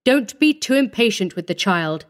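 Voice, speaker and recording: A female reader plays an orphanage matron from a storybook. She sounds weary and cautious, speaking frankly in a measured, reluctant way. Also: medium pitch, lively intonation, natural voice. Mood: doubtful, cautioning.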